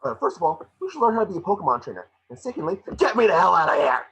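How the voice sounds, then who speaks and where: A man voicing a small wisecracking Pikachu detective, excitable, sarcastic and fretful, in an amateur table reading. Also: high-pitched, very fast, gravelly, slightly rough, heavily acted. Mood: sarcastic, irritated.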